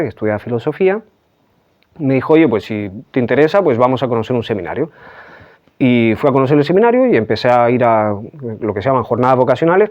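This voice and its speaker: Soft-spoken Chilean priest, halting. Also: male voice, medium pitch, highs cut off. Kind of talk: reflective interview testimony.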